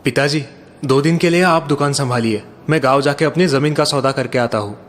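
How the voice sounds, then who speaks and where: A man voicing a cocky, crafty young antique dealer in a cartoon story, confident and smoothly polite. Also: mid-range voice, brisk, natural voice. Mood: confident, eager.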